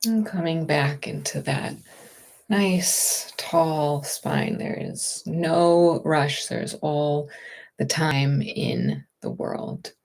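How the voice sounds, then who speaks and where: A woman meditation teacher with a lowish voice that carries a creaky edge. She guides gentle movement and stillness very slowly, leaving plenty of room between phrases, with a reassuring, unhurried manner. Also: very animated.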